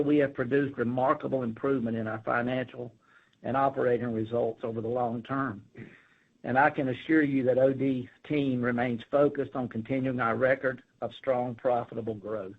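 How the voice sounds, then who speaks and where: A man's voice: mid-range voice, slightly rough. A man, a company executive, reading a scripted earnings call presentation in a formal, businesslike way. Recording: narrow, tinny phone line.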